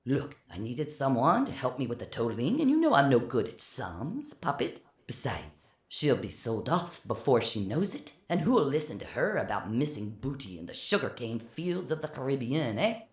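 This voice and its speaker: A narrator voicing a scheming male pirate who talks coldly and low behind a door. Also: big pitch swings, normal speed, few pauses, echoey room. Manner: cold, hushed and muffled.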